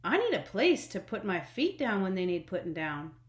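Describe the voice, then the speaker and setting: A woman's voice: mid-range voice, sing-song, moderate pace, close to an everyday voice. A woman voicing a folksy, plain-spoken, commanding mother figure in a storybook reading. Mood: folksy, bossy. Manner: folksy Southern-style colloquial phrasing, plain-spoken, commanding. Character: Big Momma, folksy no-nonsense mother creator, pleased with her work. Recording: echoey room.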